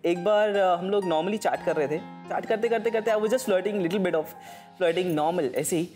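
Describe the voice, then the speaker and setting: Relaxed young man from Delhi. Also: high voice, unhurried, soft background music. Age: young adult. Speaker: contestant. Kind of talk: casual storytelling. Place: indoor TV studio set.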